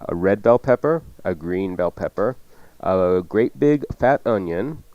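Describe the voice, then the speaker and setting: A man's voice: sits low, lively intonation, measured pace, dry recording, highs cut off. A man hosting a cooking show, chatting through recipe instructions off the cuff with joking asides. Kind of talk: casual unscripted radio cooking instruction.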